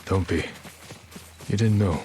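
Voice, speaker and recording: An actor playing an earnest young samurai warrior with a serious, restrained delivery in a video game drama. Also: male voice, low-pitched, slow, dark tone. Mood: guarded.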